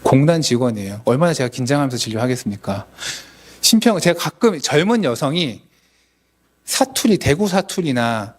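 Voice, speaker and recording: A man's voice: medium pitch, sing-song, moderate pace. A man, a dentist, lecturing colleagues at a symposium in a conversational, explanatory manner.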